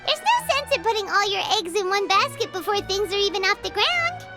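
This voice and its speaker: A woman's voice: very high-pitched, big pitch swings, a little fast, music in the background, heavily acted. A woman voicing a tiny, cheeky, childlike fairy companion in an anime-style game, with a blunt, exclamatory manner. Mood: chiding. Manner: refers to herself in third person, exclamatory.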